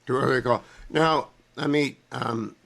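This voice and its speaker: Talk radio host asking long questions. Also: male voice, medium pitch, steady tone, moderate pace, vocal fry, fairly bright tone. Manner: chatty, builds long questions with asides.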